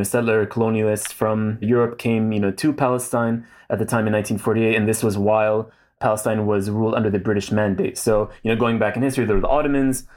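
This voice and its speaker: A man's voice: lowish voice, darkish tone. A man in his thirties, a guest in a casual interview, speaking thoughtfully with many fillers and restarts. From Saudi Arabia.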